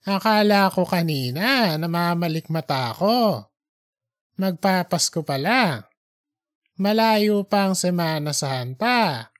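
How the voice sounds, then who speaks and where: A storyteller voicing a kindly, devout old pilgrim who speaks slowly and gently in an audiobook drama. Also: mid-range voice, dry recording, clean studio sound. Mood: warm, surprised.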